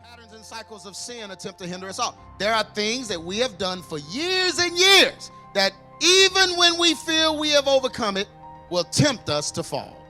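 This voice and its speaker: A man's voice: very high voice, sing-song, moderate pace, bright, forceful tone, faint music behind. A man preaching a sermon, calling out questions to the congregation. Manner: preaching with call-and-response questions.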